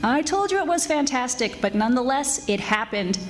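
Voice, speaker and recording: A woman playing a wisecracking, brisk private detective in 1940s radio style, wry and snappy. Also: high voice, sing-song, a little hiss or hum. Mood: urgent, businesslike.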